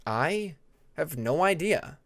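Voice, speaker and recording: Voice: mid-range voice, very animated, takes it slowly, gravelly, heavily acted. Narrator doing a hesitant, curious young male dragon.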